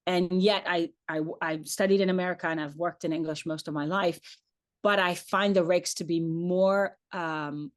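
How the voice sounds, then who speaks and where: A woman whose first language is Hebrew, an opera director talking through her ideas in a relaxed online lecture, thoughtful and chatty with many "like"s and "um"s. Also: lowish voice. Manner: thoughtful, chatty, uses "like" and "um" often.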